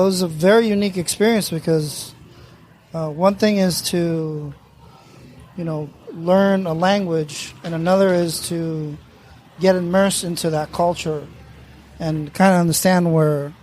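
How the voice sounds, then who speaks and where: A man from Mexico with a notably high voice for a man talks as a round-table guest. He explains things at length in an easy, conversational way and laughs readily between points. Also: darkish tone, a little hiss or hum. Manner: thoughtful, explanatory, laughs easily. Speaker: guest panelist. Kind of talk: casual round-table chat.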